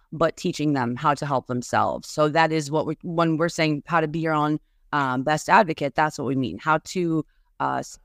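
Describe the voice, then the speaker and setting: A woman's voice: low-pitched, dry recording, clean studio sound. A woman lawyer speaking as a podcast guest, assured and explanatory in a conversational way. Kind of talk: conversational interview.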